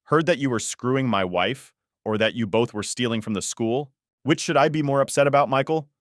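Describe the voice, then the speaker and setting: A man's voice: lowish voice, lively intonation, fairly quick, fairly bright tone, dry recording, studio quality. A man playing a bitter, betrayed husband in an audiobook, icy, sarcastic and accusing. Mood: icy anger.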